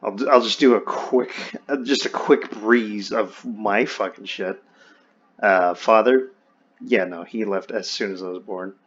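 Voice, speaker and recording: A man's voice: lowish voice, a little slow, slightly rough. A young man casually telling personal stories in a candid, wry, slangy and profane way over an online voice chat. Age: young adult. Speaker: friend telling his life story. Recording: dull lo-fi voice chat.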